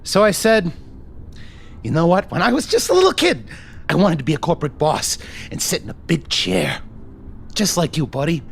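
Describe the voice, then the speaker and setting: A man playing a manic, jittery shadowrunner in a tabletop game, rambling and cursing, swinging between hushed menace and outbursts. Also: on the high side, big pitch swings, moderate pace, vocal fry, slight background noise. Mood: manic, boastful. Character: manic, trigger-happy coffee-making shadowrunner man, jittery and volatile. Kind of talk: improvised tabletop roleplay.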